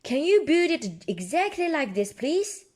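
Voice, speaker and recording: A woman reads as a proud, jolly daddy pig from a storybook, cheerfully explaining things. The voice is high and swings about with lots of expression. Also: very animated, measured pace, darkish tone. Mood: jolly, assured.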